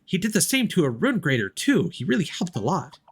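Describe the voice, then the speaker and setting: A man voicing a small, excitable, chatty fairy companion from a video game in an eager, exclamatory way. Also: high voice, brisk, slightly rough, voice pushed up, exaggerated voice. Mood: admiring.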